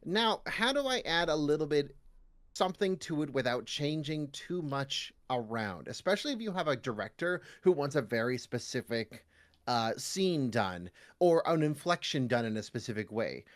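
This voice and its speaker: A man's voice: on the high side, big pitch swings, moderate pace. A man speaking as a guest voice actor in a casual podcast interview, acting out imagined remarks as he explains.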